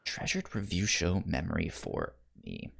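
A man's voice: deep voice, huge pitch swings, a little slow, creaky, a bit bright, breathy edge. A man hosting a podcast in casual review chat, rambling a little as he thinks aloud. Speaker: podcast host.

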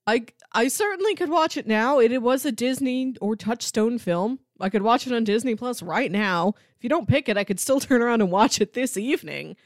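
Animated, higher-voiced woman in casual chat. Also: a little fast, hardly any pauses, no echo, clean studio sound. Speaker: podcast co-host.

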